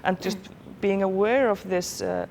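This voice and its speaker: A woman's voice: medium pitch, fairly even intonation, unhurried, gravelly, crowd noise. A woman speaking in a documentary interview, musing in a conversational way with many small fillers.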